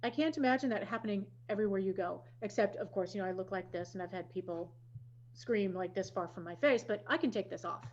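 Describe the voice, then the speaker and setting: A woman's voice: medium pitch, fast. A woman from Wisconsin hosting a podcast, chatting casually and telling stories with self-deprecating asides. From Wisconsin, USA. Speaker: podcast host. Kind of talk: casual chat. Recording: dull lo-fi audio.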